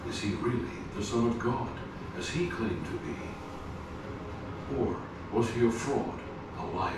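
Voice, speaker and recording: Measured male documentary narrator, echoey. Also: medium pitch. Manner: measured, posing rhetorical questions. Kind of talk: scripted narration. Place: documentary audio played in a garage gym. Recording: echoey, distant playback with loud noise.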